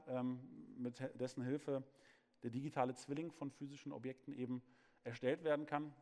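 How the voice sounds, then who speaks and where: A man's voice: mid-range voice, a little mellow. A man in his thirties giving a conference keynote on stage, talking freely with fillers and restarts. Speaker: keynote speaker. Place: large hall on a conference stage. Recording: long hall reverb.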